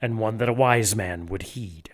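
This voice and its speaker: An actor playing a menacing cult villain in an audio drama, coolly polite and vengeful, with measured, pointed speech. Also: male voice, on the low side, lightly acted. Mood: cold restraint. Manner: measured, pointed, veiled threats.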